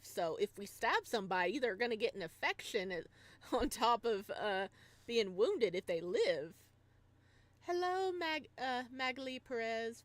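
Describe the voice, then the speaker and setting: Bubbly woman livestreamer, casual and chatty. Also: fairly high pitch. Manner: bubbly, giggly, rambling reactions to chat and story. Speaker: livestreamer and host. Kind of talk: casual livestream chat and reading a visual novel aloud.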